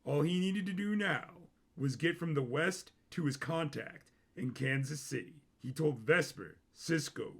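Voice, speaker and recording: A man's voice: fairly high pitch, a little slow, creaky, few pauses, husky. A man reading scripted dramatic fiction as the narrator of a story.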